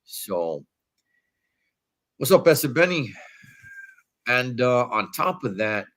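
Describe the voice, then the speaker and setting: A man's voice: mid-range voice, sing-song, very slow, fairly bright tone. A man with a stuffy nose from allergies, hosting a casual wrestling livestream from a hotel room in laid-back slangy talk. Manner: laid-back slangy talk, calls viewers dog and Holmes.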